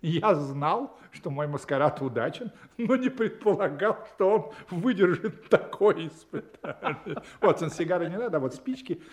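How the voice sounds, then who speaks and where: Clever self-assured detective in a radio play, amused and brisk, really expressive pitch. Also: male voice. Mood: amused, pleased. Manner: brisk, amused, confident storytelling. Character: self-assured, wry English gentleman detective.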